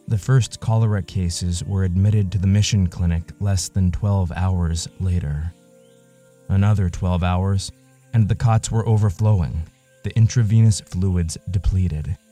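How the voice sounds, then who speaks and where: A man narrating a scripted literary audio story in a measured, solemn manner. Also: deep voice, dark tone.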